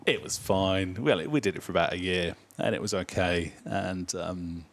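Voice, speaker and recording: Hesitant deep-voiced man. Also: moderate pace, creaky. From Nottingham area, England. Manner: hesitant, many ums and uhs. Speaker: brewery owner and guest. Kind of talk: casual interview chat. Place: brewery.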